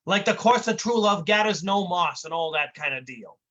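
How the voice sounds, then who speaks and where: A man's voice: high voice, animated, moderate pace, sharp tone, muffled recording. A man playing a short-tempered male comedian, brash and growling. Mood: irritated. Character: gruff short-tempered male vaudeville comic.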